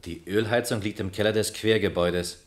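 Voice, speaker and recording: Man acting low-voiced, probing police lieutenant. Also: steady tone, a little fast, lightly acted. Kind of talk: 1960s radio crime drama acting. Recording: slightly noisy old radio drama.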